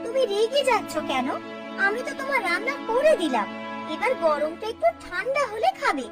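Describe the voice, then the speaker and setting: A woman plays a put-upon young cartoon wife. Her voice is very high and shrill, swinging widely as she complains defensively over music. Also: big pitch swings, moderate pace. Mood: defensive, annoyed. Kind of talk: cartoon dialogue acting.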